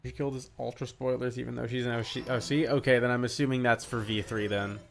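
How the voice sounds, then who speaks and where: A man's voice: medium pitch, flat delivery, quick pace, gravelly, soft background music. A young man chatting casually and jokingly as a co-commentator on a game stream. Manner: playful, jokey, swearing.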